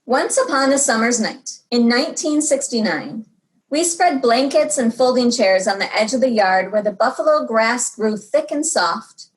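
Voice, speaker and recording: High-voiced woman reading a children's story. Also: rough recording. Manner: animated, dramatic emphasis with drawn-out words. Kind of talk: expressive read-aloud storytelling for young children.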